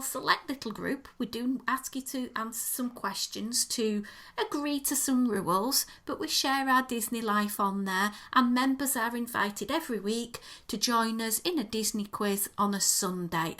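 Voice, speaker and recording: Croaky, bright-voiced woman podcaster. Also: on the high side. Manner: gushing, enthusiastic about details. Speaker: podcast co-host. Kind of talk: casual podcast chat.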